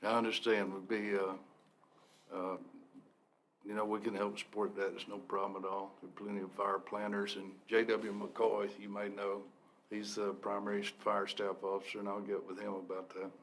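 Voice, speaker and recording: A man serving as a deputy forest supervisor, briefing a county commission in a steady, explanatory way with frequent "uh" pauses. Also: on the low side, a little hiss or hum. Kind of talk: briefing at a public meeting. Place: county commission meeting room.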